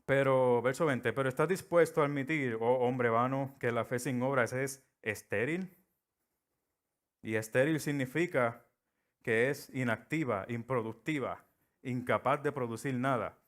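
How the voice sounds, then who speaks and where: A middle-aged man from Puerto Rico with a Puerto Rican Spanish accent, preaching a sermon. His voice sits at an ordinary middle pitch. He tells stories and acts out the voices he quotes. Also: a little slow.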